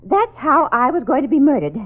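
A haughty, genteel lady from an old radio drama. Her voice sits high and swings widely. It comes through thin and tinny, like an old broadcast. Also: very animated, brisk. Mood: anxious. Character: prim indignant genteel lady, Miss Abigail. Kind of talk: 1940s radio acting.

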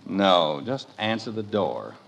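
A man's voice: low voice, very animated, slow, gravelly, fairly bright tone, full character voice. An actor playing an exasperated, sarcastic middle-aged family father in a mid-century radio comedy, grumbling drily. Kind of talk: 1940s-50s radio sitcom acting. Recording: old radio recording with noise.

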